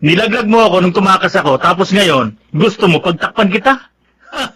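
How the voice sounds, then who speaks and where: A cheeky young Filipino soldier for radio drama, high-voiced and sharp. He banters and whines like a girl-crazy sidekick. His accent is Filipino, and there is some noise behind him. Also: expressive, fairly quick. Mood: cheeky scheming. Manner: whiny, bantering.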